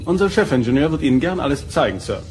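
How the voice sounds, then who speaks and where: An actor playing a firm, composed starship first officer in an audio drama, formal and matter-of-fact. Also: male voice, on the high side, sing-song, fast, darkish tone, a little hiss or hum. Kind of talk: German audio drama acting.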